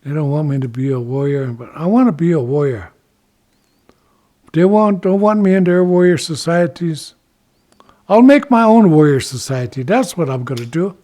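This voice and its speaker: A man's voice: mid-range voice, brisk, few pauses, dark tone, close to an everyday voice. A man playing an eager, boastful would-be warrior who exclaims and coaxes as he talks, in a told folk story. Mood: defiant. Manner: exclaiming, coaxing, bragging.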